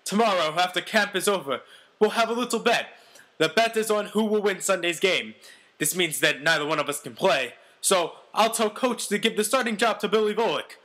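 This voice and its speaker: Man playing a brash, bright-voiced boastful quarterback. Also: high voice, animated, normal speed, pitched above the performer's own voice. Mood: challenging. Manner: melodramatic, boastful. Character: brash, self-important ex-convict beast quarterback telling his story.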